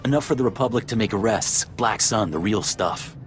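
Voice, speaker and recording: A man's voice: fairly low pitch, a little fast, faint music behind, close to an everyday voice. An actor voicing a cynical, streetwise male informant in a sci-fi video game, edgy and sarcastic. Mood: irritated, discreet.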